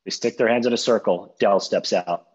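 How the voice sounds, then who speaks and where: A man's voice: on the low side, normal speed, husky, highs cut off. A man reading screen directions aloud at a live table read.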